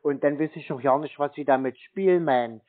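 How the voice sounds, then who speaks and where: A man's voice: on the high side, fairly quick. A man on a phone call, arguing as a dissatisfied customer in a long-winded, insistent, scolding way. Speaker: caller posing as an aggrieved customer. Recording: narrow, tinny phone-like sound. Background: phone call recording.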